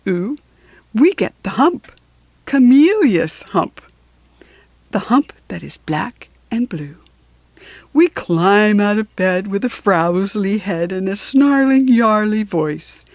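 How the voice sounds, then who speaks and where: Slow, highly expressive woman storyteller. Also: no echo. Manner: expressive, deliberate. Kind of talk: children's story and verse reading.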